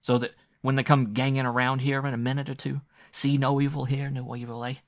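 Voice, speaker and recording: A man's voice: on the low side, brisk. A man reading aloud and voicing a wounded, hunted man who sneers and jeers bitterly. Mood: heated. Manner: sneering, jeering, loud.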